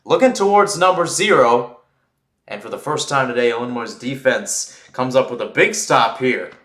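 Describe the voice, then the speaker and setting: A man's voice: mid-range voice, animated, moderate pace, fairly bright tone. Male football play-by-play commentator, echoey room. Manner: conversational, self-correcting asides. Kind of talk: live American football radio-style commentary.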